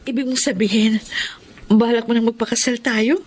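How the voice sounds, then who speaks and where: A woman's voice: a little high, fairly even intonation, moderate pace, a little mellow, close to an everyday voice. A young woman playing a devoted, lovestruck nurse in a Filipino radio drama, earnest and respectful, with a Filipino accent. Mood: delighted, hopeful. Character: devoted, lovestruck young nurse narrating her own story. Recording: music behind the voice.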